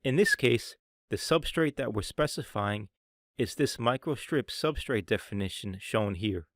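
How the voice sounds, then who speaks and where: A man reading a scripted software tutorial in a measured, explanatory way. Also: mid-range voice, animated. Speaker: software demo narrator.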